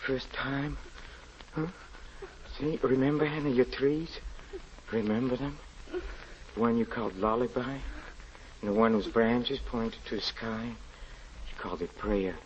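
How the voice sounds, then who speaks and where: An actor playing a haunted, grieving European husband confessing a tragedy, halting, stammering and pleading in theatrical 1940s radio drama style. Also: male voice, mid-range voice, natural voice. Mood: tender, coaxing. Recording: muffled, noisy old radio recording.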